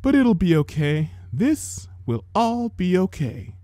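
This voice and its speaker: Man putting on a high, prim lady unicorn. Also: sing-song, slow, warm tone, no room sound, clean studio sound, exaggerated voice. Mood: soothing, fussing. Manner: prim, motherly, dramatic. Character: female unicorn pony, doting sister with fiery temper.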